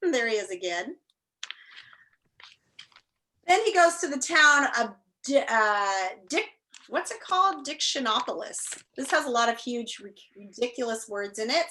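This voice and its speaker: A woman's voice: on the high side, animated, normal speed, bright, forceful tone, slight background noise. A woman teacher talking and reading aloud to an online class, enthusiastic and encouraging. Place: indoors, on a video call.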